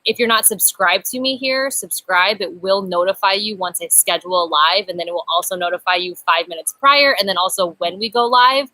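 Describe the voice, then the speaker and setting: A woman's voice: medium pitch, fairly quick, hardly any pauses, dry recording, rough recording. A young woman hosting a casual live stream from an outdoor patio, chatty and upbeat, picked up by a laptop webcam. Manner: chatty, upbeat, self-described loud voice. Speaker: channel host.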